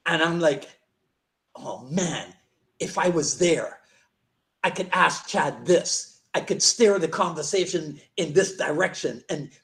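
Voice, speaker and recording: A man hosting a podcast interview, chatting eagerly and jumping in with animated remarks. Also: fairly high pitch, slow. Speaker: podcast host. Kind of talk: casual interview chat.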